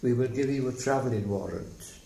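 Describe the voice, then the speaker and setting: An older man with a slight Welsh accent recounts his memories. His voice is gravelly and creaky, and he speaks haltingly and reflectively. The recording sounds like an echoey room with faint background noise. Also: mid-range voice, mellow. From South Wales. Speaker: interviewee telling life story. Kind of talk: oral history reminiscence.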